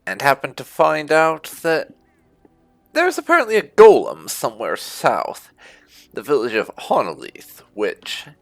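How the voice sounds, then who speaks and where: A man hosting a gaming video with casual roleplay commentary, speaking in a halting, thoughtful way on a close mic. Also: on the high side, fairly bright tone, dry recording. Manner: halting, thoughtful delivery with murmured hums. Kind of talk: casual let's play commentary, reading dialogue choices aloud in roleplay.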